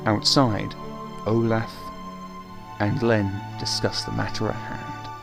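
Slow, theatrical male gothic narrator. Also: on the low side, flat delivery, creaky. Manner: theatrical, deliberate. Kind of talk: dramatic scripted gothic radio play narration. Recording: faint music behind narration.

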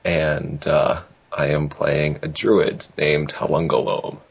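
A man's voice: rumbling low, little pitch movement, slow pace, vocal fry, slightly rough, lo-fi sound. A man from Rhode Island, a player at a tabletop game, talking dryly with some hesitation. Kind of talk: casual chat at a game table.

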